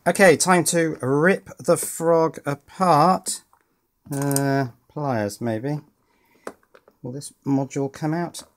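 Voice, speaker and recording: A man talks through an electronics repair at his bench. His delivery is relaxed and wry, full of small pauses and "uh"s as he thinks aloud. He sounds like a hobbyist chatting to a camera. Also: on the high side. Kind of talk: casual tinkering commentary. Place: indoors at a workbench.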